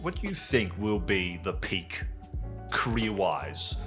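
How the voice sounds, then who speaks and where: A man's voice: deep voice, very animated, takes it slowly, over music. A man co-hosting a casual podcast with joking, self-mocking banter. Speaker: podcast co-host. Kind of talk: casual chat.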